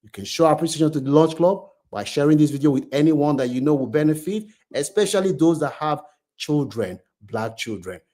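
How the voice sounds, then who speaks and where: A male channel host with a voice pitched a little above average. He delivers moralising story commentary straight to his viewers. His phrasing is emphatic, often repeating itself to drive a point home. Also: animated, brisk, mellow.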